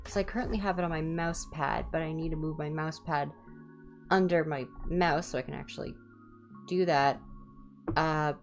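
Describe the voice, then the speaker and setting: A woman hosting a livestream, chatting casually in ASMR style with a low-key, rambling, self-deprecating manner. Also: fairly even intonation, normal speed, faint music behind. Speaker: livestream host and reader.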